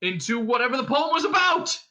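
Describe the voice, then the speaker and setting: A man voices a quick-witted schemer in a comic audiobook, trying to bluff his way out of trouble. He sounds high, sharp and urgent, stammering with nerves. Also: wildly swooping pitch, exaggerated voice. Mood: yelling.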